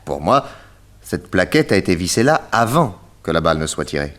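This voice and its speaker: An actor playing a shrewd, confident detective in a French radio mystery play, courteous and deliberate as he explains and probes. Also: sits low, sing-song, fairly bright tone. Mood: assertive, explanatory. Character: shrewd, theatrical male detective, confident and probing.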